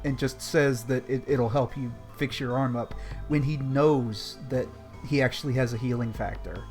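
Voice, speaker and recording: A man's voice: mid-range voice, fairly even intonation, moderate pace, a little mellow, faint music behind. A man in a casual group discussion, laying out theories in an explanatory way. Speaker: discussion panelist.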